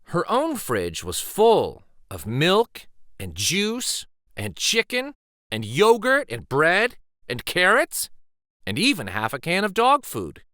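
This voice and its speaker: Guy doing kids storytime narration, bright clear-cut voice, really swoopy pitch, takes his time. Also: dry recording, clean studio sound. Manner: deliberate, animated, emphatic phrasing. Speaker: host reading children's picture books aloud. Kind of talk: expressive storytime read-aloud narration.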